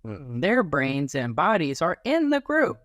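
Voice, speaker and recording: A man reading a children's book aloud as a teacher, in an expressive, instructive way that leans on key phrases. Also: fairly high pitch, unhurried, no echo, clean studio sound.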